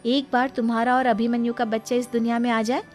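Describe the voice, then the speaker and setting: A woman's voice: a little high, flat delivery, fast, over music, lightly acted. A woman playing a young daughter-in-law in a family cartoon, earnest, aggrieved and protesting. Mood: indignant. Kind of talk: Hindi family cartoon acting.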